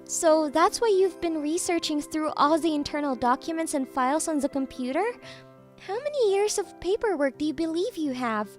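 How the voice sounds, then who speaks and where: A woman's voice: sits high, lively intonation, normal speed, soft background music. A woman voicing a bossy, teasing young female boss and jilted ex in an anime-style manga dub, commanding and needling. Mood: stern, needling.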